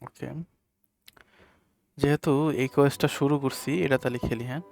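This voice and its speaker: A man's voice: medium pitch, flat delivery, very fast, gravelly, a little mellow, soft background music. A man giving casual commentary as he plays a video game. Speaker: gameplay video creator.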